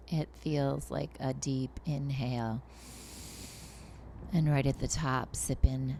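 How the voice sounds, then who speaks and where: A woman guiding a relaxation meditation as a teacher, with a soothing, gentle and hushed delivery. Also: rumbling low, slight background noise.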